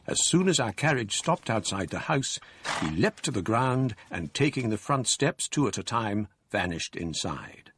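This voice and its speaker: An actor narrating as a scholar recalling his youth, measured, wry and reflective. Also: on the low side, slight background noise, lightly acted. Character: older male scholar narrating his past. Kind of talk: radio drama narration.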